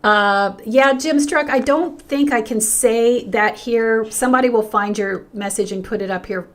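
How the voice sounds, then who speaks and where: An older woman is talking on her own livestream, her voice worn hoarse and fading. She speaks with earnest emphasis, often stopping to restart a phrase, and her pitch lifts brightly when she greets people in the chat. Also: moderate pace. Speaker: pastor hosting a livestream. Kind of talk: unscripted livestream talk, reading chat comments.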